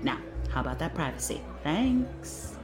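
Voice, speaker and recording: A woman playing a wry, domineering middle-aged police captain and mother in an audio drama, brisk and folksy in her persuasion. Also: low voice, sing-song. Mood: wry, motherly. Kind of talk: audio drama acting, natural dialogue. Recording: music playing behind the voice.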